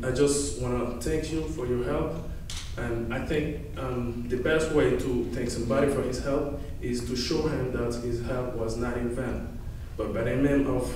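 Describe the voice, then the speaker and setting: A young Haitian man addresses a crowd in earnest, halting English, often restarting his sentences. His voice sits at an ordinary pitch and carries a heavy echo from a large hall. He stands well back from the mic, with faint background noise. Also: darkish tone. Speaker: former orphan, law student giving a testimonial. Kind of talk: heartfelt speech to an audience. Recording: echoey hall, speaker far from mic.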